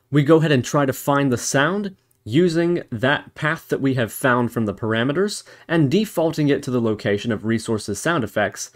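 Man, medium pitch, animated intonation, instructional voice-over, step-by-step explaining, tutorial presenter. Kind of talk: instructional screencast narration.

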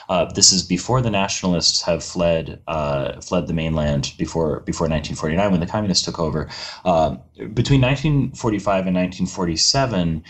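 Very deep-voiced man explaining history. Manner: measured, lecturing, with filler "you know" and "uh". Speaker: guest historian. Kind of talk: explanatory podcast interview.

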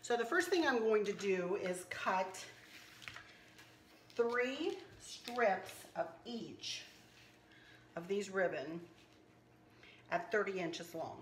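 A slightly hoarse woman hosting a casual craft tutorial, chatting and teasing herself as she works. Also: mid-range voice, expressive, slow, pauses often, roomy sound, a little hiss or hum. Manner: chatty, self-teasing, talks while working. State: a little hoarse. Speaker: craft channel host. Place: kitchen counter indoors.

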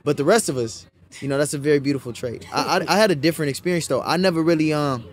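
A man from Los Angeles talks fast in a slightly raised voice, thoughtful as he chats on a podcast couch. He speaks close to a boom mic. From South Central Los Angeles, USA. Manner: reflective, quick-talking. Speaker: guest actor. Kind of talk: casual podcast chat. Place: indoor studio set.

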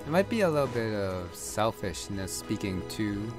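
A man's voice: fairly low pitch, very animated, moderate pace, music in the background. A man giving casual gameplay commentary, rambling as he thinks aloud. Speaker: gaming video host. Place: voice-over with game music behind.

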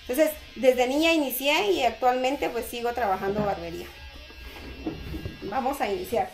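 A woman's voice: a little high, normal speed, faint music behind. A young woman barber with a Guatemalan Spanish accent explaining each step as she colors a client's hair, with hesitant fillers. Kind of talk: explaining her work while coloring hair. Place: barbershop, indoors.

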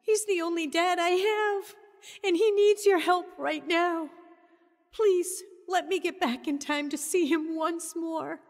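A woman's voice: very high voice, fairly even intonation, takes it slowly, no echo, clean studio sound, heavily acted. An actress playing a distraught young woman who pleads through tears for her badly injured father, in a radio drama. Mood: desperate prayer. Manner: tearful pleading.

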